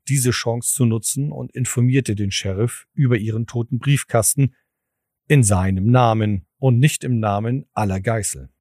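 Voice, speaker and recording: A man's voice: medium pitch, darkish tone, dry recording. Dry, close-recorded male narrator. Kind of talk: scripted audio drama narration.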